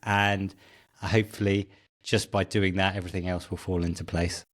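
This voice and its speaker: A middle-aged British man speaks as an interview guest. His voice is fairly low, and he talks in a casual, anecdotal way. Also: level tone, moderate pace. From Britain. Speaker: podcast guest, tech founder.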